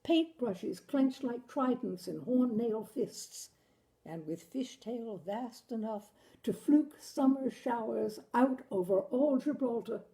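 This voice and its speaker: A woman narrating a short story in an expressive, deliberate audiobook style, savoring the phrasing. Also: on the high side, gravelly, low-quality recording. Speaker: literary reader, storyteller.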